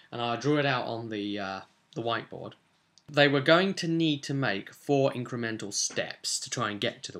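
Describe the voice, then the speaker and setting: Young man, British accent, bright forceful voice, medium pitch, casual vlog recap, chatty, emphatic storyteller. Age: young adult. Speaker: vlogger and game master recounting a session. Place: small indoor home room, seated at a desk.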